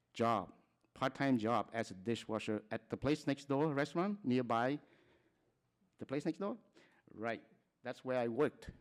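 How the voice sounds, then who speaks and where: Smooth-voiced man from Cambodia, halting. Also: medium pitch. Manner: plain, halting talk with frequent restarts and short laughs. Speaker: conference speaker. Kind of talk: personal testimony at a conference.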